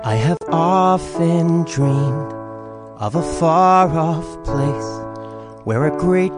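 A woman singing a slow, tender ballad. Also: low-pitched, loud music behind the voice.

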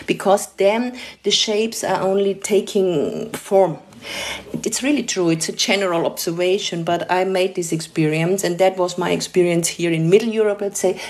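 A middle-aged woman speaks English in a low voice. She answers reflectively, pausing to search for words, with the odd soft laugh. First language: German. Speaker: artist and researcher.

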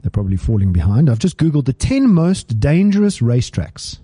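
A man's voice: medium pitch, big pitch swings, fairly quick, mellow, slightly rough, no room sound. A man co-hosting a studio podcast in casual chat, teasing his partner in playful banter. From South Africa. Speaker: podcast co-host. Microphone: studio mic.

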